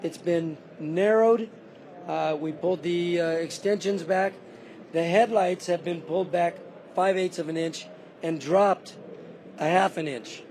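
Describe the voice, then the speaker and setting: Man, slightly high voice, losing his voice, matter-of-fact, detailed, faint crowd noise behind. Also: measured pace, darkish tone. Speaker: car builder. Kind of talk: walkaround explanation of a custom car. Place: convention show floor.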